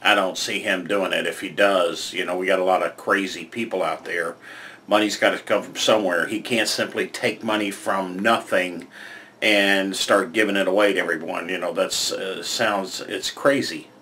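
A man's voice: deep voice, steady tone, normal speed, sharp tone, slight background noise. An older man from the United States talking casually to his webcam at home, rambling with fillers and repeated words as he gives his opinions. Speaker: vlogger giving political opinions. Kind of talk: casual monologue to camera. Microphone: webcam framing, head and shoulders.

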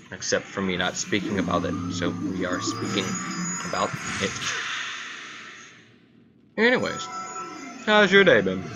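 A man giving casual, rambling gameplay commentary, talking to viewers and to himself. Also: sits low. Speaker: gamer commentating own gameplay. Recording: clearly noisy amateur recording.

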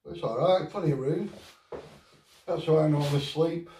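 A man's voice: on the high side, moderate pace. A middle-aged man with a Northern English accent, casually reviewing camping gear in his living room, rambling and matter-of-fact. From England. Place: living room at home, indoors. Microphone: far camera on a tripod. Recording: roomy, distant camera audio, faint noise.

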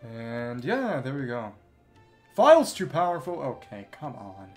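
A game streamer with an animated, mid-pitched voice talks casually to viewers. The delivery is musing and clipped, half-reading on-screen dialogue, with faint music behind it. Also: a little slow.

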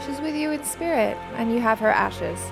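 A woman's voice: on the high side, expressive, normal speed, gravelly. Young woman reacting over game music. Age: young adult. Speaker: reaction show co-host. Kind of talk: casual reaction commentary. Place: small padded studio. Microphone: headset mic.

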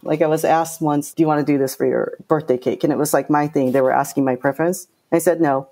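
A middle-aged woman from Japan, a game producer talking in an interview, direct and outspoken with lively storytelling. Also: low-pitched, quick pace. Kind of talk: casual interview talk.

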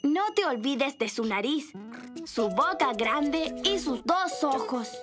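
An actor voicing a cheerful young boy rabbit in a children's cartoon, explaining things simply and clearly. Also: high-pitched, expressive, takes it slowly. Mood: patient, instructive. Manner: clear, simple, instructive delivery for small children. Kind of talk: children's cartoon dub, drawing instruction. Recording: loud music under the voice. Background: children's cartoon music.

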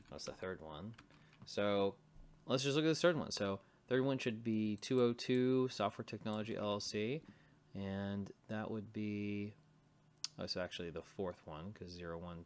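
A man giving an informal coding tutorial, muttering to himself and thinking aloud as he works on screen. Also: fairly low pitch. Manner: mutters to himself while typing. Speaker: programming tutorial presenter.

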